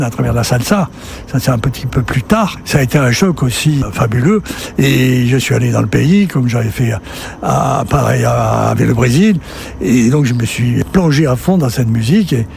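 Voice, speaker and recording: A man's voice: mid-range voice, gravelly, darkish tone, slight background noise. An older man from southern France, a festival director telling his story conversationally in an interview, rambling and repeating words as he goes. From Sète, southern France. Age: 60s or older.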